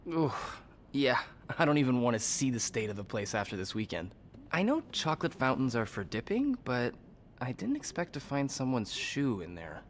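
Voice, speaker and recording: A man's voice: medium pitch, very animated, normal speed, slight background noise, lightly acted. A man voicing a friendly, joking young hotel worker, easily flustered, in a narrative video game. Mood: chatty, amused. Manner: informal, joking.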